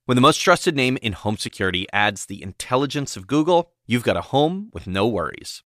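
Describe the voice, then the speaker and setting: A man reading a scripted commercial in a smooth, polished way. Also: mid-range voice, very animated, fairly quick, a bit bright, dry recording, studio quality. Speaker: ad announcer.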